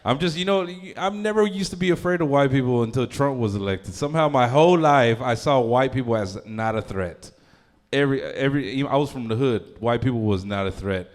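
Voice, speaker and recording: A man's voice: mid-range voice, expressive, brisk. A man performing a crude, rambling stand-up comedy set, often laughing at his own jokes and filling gaps with uh and um. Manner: throws in lots of fillers like uh and um.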